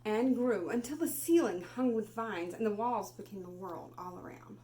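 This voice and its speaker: A woman reading a children's story aloud, dramatically moving between hushed and raised delivery. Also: medium pitch, normal speed. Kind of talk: expressive children's read-aloud. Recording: noticeable background noise.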